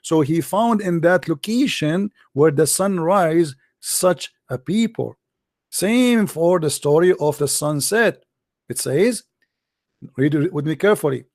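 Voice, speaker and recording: A man whose first language is Arabic, hosting a call-in debate, arguing insistently and slowing down to read scripture aloud. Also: on the high side, animated, a little mellow, no echo, studio quality. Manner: insistent, argumentative, repeats "no, no". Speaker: host.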